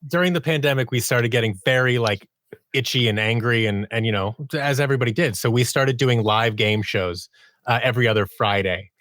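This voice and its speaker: A man's voice: medium pitch, fairly quick, fairly bright tone, a little hiss or hum. A man chatting casually as a guest on a podcast. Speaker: podcast guest, audio drama maker. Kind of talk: casual chat.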